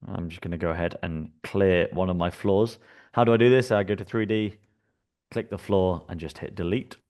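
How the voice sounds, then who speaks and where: A man from the UK with a British accent, presenting a live software workshop in a relaxed, chatty way, with many fillers and restarts. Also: low voice, moderate pace. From United Kingdom.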